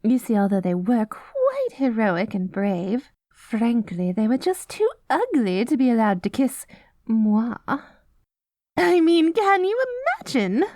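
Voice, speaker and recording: A woman's voice: a little high, big pitch swings, measured pace, warm tone, dry recording, clean studio sound. A woman playing a vain, haughty good queen in a scripted audio roleplay, prim and affected, with false sweetness and grand self-righteousness. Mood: haughty disdain.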